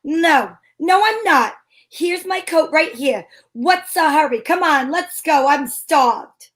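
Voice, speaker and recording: A woman's voice: high-pitched, animated, slow pace, fairly bright tone, exaggerated voice. A woman playing a feisty, wry heroine in an old-time radio show, with sassy, theatrical delivery. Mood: sassy, firm. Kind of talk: hobby old-time radio acting with first-person narration.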